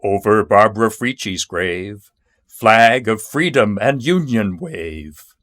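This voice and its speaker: A man reciting narrative poetry in a measured, solemn and dramatic manner. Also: lowish voice, expressive, fairly bright tone, no echo, clean studio sound. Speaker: poetry reader.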